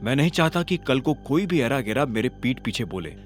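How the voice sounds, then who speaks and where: A man's voice: medium pitch, expressive, brisk, close to an everyday voice. A defiant young fighter in an audio drama, voiced by a male narrator. He sounds composed and self-assured, speaking in firm, terse phrases with music behind him. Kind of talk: Hindi audio drama character voice.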